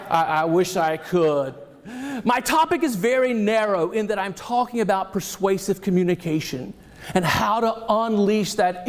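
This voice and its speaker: A man with a Southern US accent giving an energetic, animated motivational keynote on a conference stage, joking with the audience. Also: high voice. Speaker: keynote speaker. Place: conference hall, speaking on stage.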